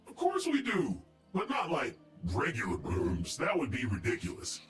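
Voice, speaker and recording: A man's voice: medium pitch, wildly swooping pitch, normal speed, a bit bright, echoey room, soft background music. A man acting a masked witch in a video game, a secretive, confident mentor with a playful, teasing way of talking. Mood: playful, instructive.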